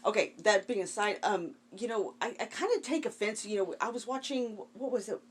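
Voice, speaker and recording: A woman's voice: medium pitch, a little fast, husky. A woman in a casual, opinionated podcast discussion, questioning things and padding her points with conversational asides. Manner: frequent "you know" asides, questioning.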